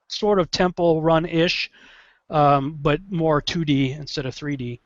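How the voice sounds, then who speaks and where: A man explains an idea in casual talk. He is hesitant and stammers, with a slightly high voice. The recording is dull and dry. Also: steady tone, unhurried, dry recording.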